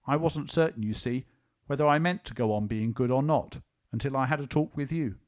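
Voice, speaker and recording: A man's voice: mid-range voice, brisk, lightly acted. A man playing an earnest little boy. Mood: earnest, scolding. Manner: earnest, reasoning, a bit stubborn.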